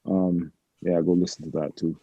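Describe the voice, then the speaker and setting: A man's voice: low voice, level tone, moderate pace, vocal fry, mellow, breathy edge, dry recording, dull audio. A man chatting casually as a panelist on a podcast. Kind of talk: casual chat.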